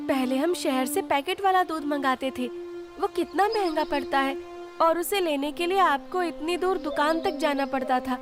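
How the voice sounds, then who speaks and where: A woman plays a trusting village housewife in a kids' cartoon. Her voice is high, earnest and chatty, kindly in temper, with music underneath. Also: natural voice. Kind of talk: animated children's moral story dialogue.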